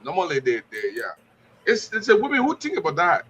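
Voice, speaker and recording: A man's voice: high-pitched, huge pitch swings, brisk, fairly bright tone, a little hiss or hum. A man on a radio panel, confidently giving his opinions and telling stories with quoted dialogue. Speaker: studio guest panelist. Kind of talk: opinion talk.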